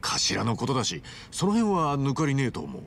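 A man's voice: medium pitch, huge pitch swings, moderate pace, vocal fry, a bit bright, slight background noise, heavily acted. An actor playing a brash, good-hearted middle-aged hero in a video game, blunt and colloquial in his talk. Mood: earnest, pensive. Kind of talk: Japanese video game dub acting.

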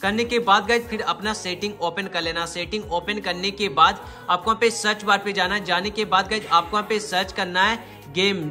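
A young man speaks in a high, bright voice as he walks viewers through a tutorial. He is informal and repetitive, constantly addressing his audience. The room adds noticeable echo. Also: steady tone, moderate pace. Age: 20s. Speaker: YouTube host, gaming tech tutorial presenter. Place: small indoor room. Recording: roomy echo, faint music behind. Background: music.

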